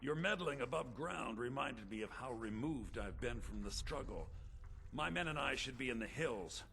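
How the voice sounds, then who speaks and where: An actor voicing an embittered, calculating rebel leader in prison in a video game, philosophical and quietly menacing. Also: male voice, mid-range voice, expressive, moderate pace, vocal fry.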